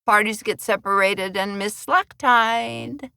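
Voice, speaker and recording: A woman's voice: medium pitch, slow, gravelly, sharp tone, clean tone, no room sound, studio quality, voice pushed up, full character voice. A woman voicing a bitter, sarcastic woman bartender who talks in a sing-song lilt.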